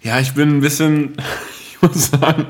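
A man playing an awkward, sheepish young card duelist in an improvised comedy sketch, speaking haltingly. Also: fairly high pitch, fairly even intonation, pitched above the performer's own voice, lightly acted. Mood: awkward, defensive.

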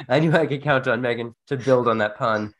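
A man's voice: mid-range voice, fairly bright tone. Guy co-hosting an education podcast, chatty explainer, kinda wry, regular mid voice. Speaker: podcast co-host, instructional designer. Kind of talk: conversational educational podcast talk.

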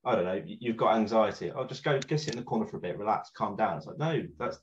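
A man calmly explaining his view in a podcast discussion. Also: lowish voice, fast. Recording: dull lo-fi mic.

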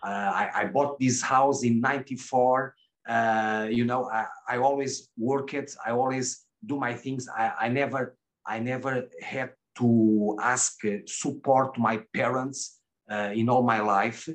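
A man's voice: medium pitch, steady tone, a little slow. Hesitant middle-aged man, stumbling and repeating. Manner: hesitant, restarts and repeats words often. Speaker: webcam broadcaster, guest. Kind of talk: candid interview answers.

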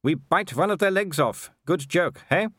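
A man's voice: sits high, huge pitch swings, slow pace, fairly bright tone, dry recording, studio quality, voice pushed up, exaggerated voice. A man voicing a cheerful young male werewolf villain with a mocking, taunting manner in an audiobook. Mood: cheerful menace. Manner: gleefully taunting.

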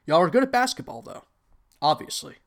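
A man's voice: on the high side, big pitch swings, fairly quick, vocal fry. A young man in his twenties with a Texan accent, hosting an unscripted solo podcast, rambling casually and correcting himself as he goes. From United States. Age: 20s. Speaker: podcast host.

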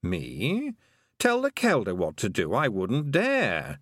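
A man voicing a grumpy, self-important talking toad with a deadpan manner in an audiobook. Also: on the low side, slow, no echo, studio quality, full character voice. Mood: huffy. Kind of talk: audiobook character acting.

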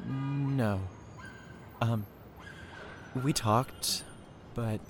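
A man's voice: mid-range voice, fairly even intonation, extremely slow, faint music behind. A man playing a troubled, easily frightened young man in an audio drama. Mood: dejected.

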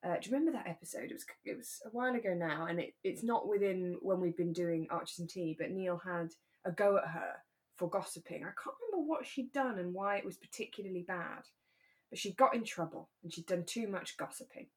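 A British woman chatting casually as a podcast co-host, in a talkative, easygoing way that is quick to laugh. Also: fairly low pitch. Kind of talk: relaxed casual chat about a radio drama.

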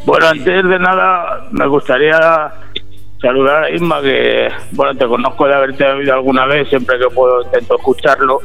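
Hoarse, very gravelly man. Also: medium pitch, steady tone, normal speed, a bit bright, poor audio. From Bujalance, Andalusia, Spain. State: hoarse after little sleep. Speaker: radio guest. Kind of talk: casual live radio interview. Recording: radio show with faint music.

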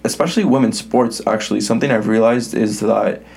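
A man's voice: fairly low pitch, a little fast, vocal fry, a little mellow, slightly rough. A young man, raised bilingual in English and Spanish, hosting an interview in a chatty way, full of "like" and restarts. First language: English and Spanish both. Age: young adult. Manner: chatty, filler-heavy, with restarts. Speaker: podcast host, college soccer player. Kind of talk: casual interview chat.

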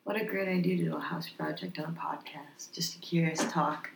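A young woman co-hosting a casual podcast, chatty and relaxed, with many agreeing fillers. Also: low-pitched, brisk, gravelly. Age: young adult. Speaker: podcast co-host. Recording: echoey room with faint noise.

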